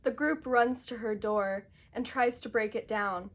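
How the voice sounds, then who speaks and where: A woman's voice: a little high, unhurried, very noisy. A woman narrator reading scripted dramatic storytelling for a puppet show.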